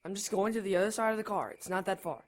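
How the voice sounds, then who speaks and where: A woman's voice: mid-range voice, fast talker, lightly acted. Anxious, earnest teenage brother played by a woman. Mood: hesitant, concerned. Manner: hesitant, urgent, literal-minded. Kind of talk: audio drama acting.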